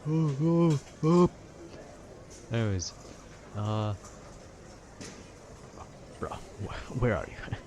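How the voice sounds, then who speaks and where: A man's voice: mid-range voice, expressive, drawn-out words, long pauses, mellow. A young man rambling casually in a nerdy, slangy, meandering way, often trailing off and losing his thread. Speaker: audio content creator rambling to listeners. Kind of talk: casual rambling talk about video games. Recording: clear background noise, urban ambience.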